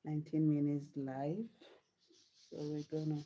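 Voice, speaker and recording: A woman's voice: sits low, little pitch movement, a little slow, mellow, a little hiss or hum. A woman from Nigeria chatting casually with viewers during a live hair-styling stream, answering their comments. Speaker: livestreaming hair vlogger. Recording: dull lo-fi sound.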